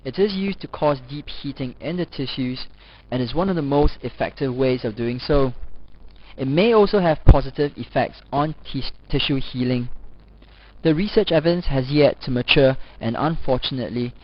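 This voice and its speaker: A man giving a scripted instructional narration as a lecturer, formal and measured, as if reading aloud. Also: mid-range voice. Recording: dull lo-fi voice-over recording.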